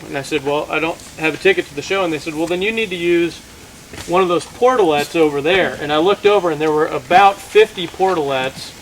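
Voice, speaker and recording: A middle-aged man telling an informal comic story to a group, acting out the dialogue in an animated way. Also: on the high side. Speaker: campfire storyteller. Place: outdoors among a gathered crowd. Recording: noisy outdoor recording with audience chatter. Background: audience sounds, outdoor ambience.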